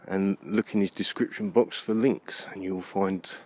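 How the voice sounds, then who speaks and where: A deep-voiced man records a rambling, thoughtful monologue as he walks through a park at night. He speaks casually and haltingly, leaving thoughts hanging. Faint outdoor noise sits around his voice. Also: steady tone, darkish tone. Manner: musing, halting, with unfinished thoughts. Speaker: vlogger. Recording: noisy outdoor handheld recording.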